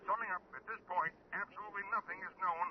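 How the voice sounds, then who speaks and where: An actor playing a radio newscaster with a crisp, urgent delivery in a radio drama. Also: male voice, sits high, fairly even intonation, fast, lightly acted. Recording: muffled, noisy old radio broadcast.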